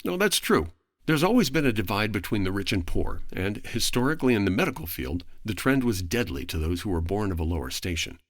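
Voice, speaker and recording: A man speaking as a podcast guest, giving a prepared, articulate explanation in formal, measured phrasing. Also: fairly low pitch, very animated, dry recording, clean studio sound.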